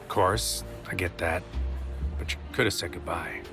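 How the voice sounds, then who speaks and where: A man's voice: deep voice, moderate pace, vocal fry, music in the background. An actor playing an anxious, brooding agent who tells his own story in a noir audio drama, in terse poetic fragments. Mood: subdued, gentle. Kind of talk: first-person noir audio drama narration.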